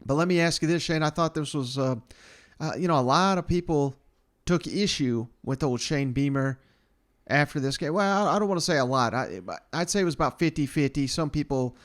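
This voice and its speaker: Higher-voiced man hosting casual sports talk. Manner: conversational, colloquial. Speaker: podcast host.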